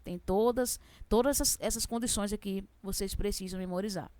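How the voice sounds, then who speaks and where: A young woman with a strong regional accent teaching law for civil service exams, fast-talking and chatty, asking questions and answering them herself. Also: mid-range voice, dark tone, dry recording. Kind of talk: exam prep lesson.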